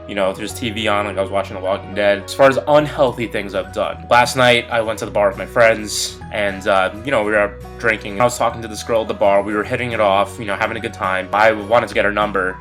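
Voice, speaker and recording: A man in his early twenties, a little congested from a cold, talking candidly in a relaxed personal vlog with lots of fillers. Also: on the low side, fast, hardly any pauses, faint music behind. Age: 20s. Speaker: vlogger.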